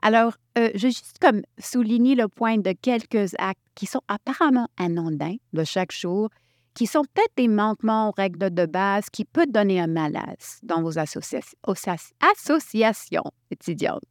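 A woman from Quebec leading a workshop, talking in an animated way and restarting her sentences often. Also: mid-range voice, moderate pace, dry recording, clean studio sound. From Quebec, Canada. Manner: animated, thinks aloud with many restarts. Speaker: workshop facilitator. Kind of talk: workshop talk.

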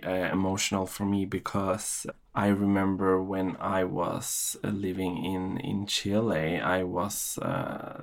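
A man's voice: lowish voice, creaky. A man telling a personal story in an interview, hesitant and full of restarts. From Norway. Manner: hesitant, many restarts, emotional reminiscing.

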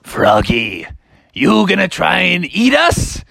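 A man's voice: high-pitched, huge pitch swings, takes it slowly, vocal fry, slightly rough, exaggerated voice. A man voicing threatening, man-eating pumpkins from a children's story. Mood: menacing. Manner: threatening, shouting.

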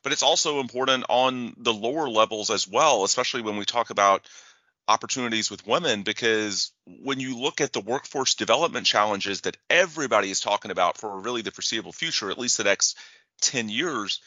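A man hosting an interview, speaking in fluent, brisk explanations. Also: lowish voice, pushed and bright, no room sound, muffled recording. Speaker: interview host. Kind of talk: conversational interview.

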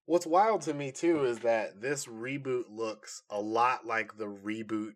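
A man's voice: medium pitch, measured pace, crowd noise. A man co-hosting a podcast in casual nerd chat, reacting and joking along with his partner. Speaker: podcast co-host.

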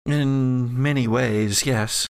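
A man's voice: mid-range voice, fairly even intonation, extremely slow, clean tone, dry recording, clean studio sound. A man voicing an ancient female spirit made of ectoplasm in an audio drama game, musing evasively and searching for words. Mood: musing, uncertain.

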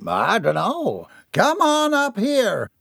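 A man voicing a commanding ship's captain who speaks in a grand, deliberate way, as if addressing a crowd. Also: sits high, wildly swooping pitch, creaky, no room sound, clean studio sound, pitched above the performer's own voice, exaggerated voice.

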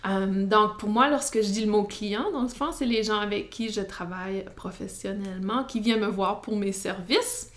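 A woman with an Acadian French accent, hosting her own podcast as a psychotherapist, speaking in a relaxed monologue with frequent hesitations and light laughs. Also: medium pitch, moderate pace, few pauses. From New Brunswick, Canada. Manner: hesitant, many "euh" fillers, light laughs.

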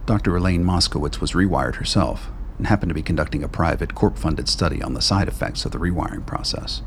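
Gravelly deep-voiced male narrator. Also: a little hiss or hum. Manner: measured, grave. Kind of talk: radio play narration.

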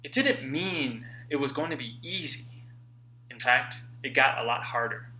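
Male self-help author-narrator: lively swings in pitch, sharp intense tone, emphatic dramatic pauses. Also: rough recording. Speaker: author reading own book.

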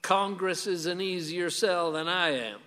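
An actor playing a stubborn conservative husband in a radio play, full of gruff sarcasm and folksy defiance. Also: male voice, sits high, unhurried, bright, forceful tone, big-room sound. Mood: wary, sardonic. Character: stubborn, sardonic conservative husband and gun owner.

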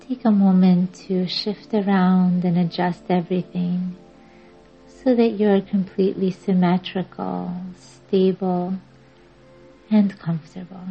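A woman leads a guided meditation at a very slow pace, leaving long pauses between soothing instructions. Her voice is husky rather than clear. The recording sounds dull and lo-fi, with music playing behind her. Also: fairly low pitch, flat delivery. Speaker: meditation podcast host and guide. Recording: dull lo-fi audio under music.

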